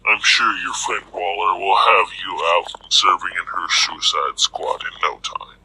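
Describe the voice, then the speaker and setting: Man putting on a very husky, bored villain boss. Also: low voice, fairly even intonation, sharp tone, no room sound, heavily acted, rough recording. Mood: dry sarcasm. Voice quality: husky, raspy. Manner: weary, sardonic, deliberate. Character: brooding, bored, gruff male villain crime boss.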